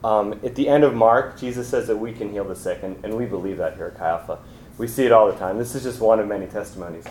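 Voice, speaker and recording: Young male grad student, earnest halting testimony, roomy echo around him. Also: fairly low pitch, a little mellow, a little hiss or hum. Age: young adult. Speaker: graduate student giving testimony. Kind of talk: personal testimony. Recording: echoey room.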